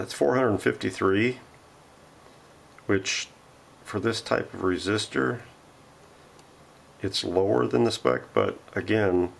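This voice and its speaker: A man's voice: deep voice, gravelly. A man giving a casual, unscripted hands-on workshop demonstration, thinking aloud as he works. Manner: thinking aloud while working. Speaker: host of a radio repair vlog. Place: home workshop.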